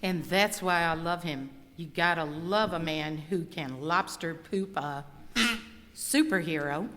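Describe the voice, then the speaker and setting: Woman playing a low-pitched villain's adoring sidekick. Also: a little slow, a bit bright. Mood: smitten. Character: villain's adoring, easily offended female sidekick. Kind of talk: amateur comic radio skit. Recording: echoing hall, live recording.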